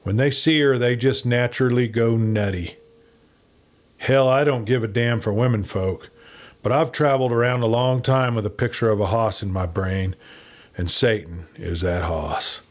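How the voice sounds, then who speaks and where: A man playing a gruff, yearning cowboy outlaw. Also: normal speed, natural voice. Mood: soft yearning. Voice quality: bass, growling.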